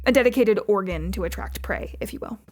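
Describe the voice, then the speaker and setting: An actress playing a dry, exacting agency chief in an audio drama, speaking formally and precisely with some condescension. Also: female voice, mid-range voice, a little fast, a little mellow, close to an everyday voice. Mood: dry, clinical. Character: dry, exacting female boss of secret agency.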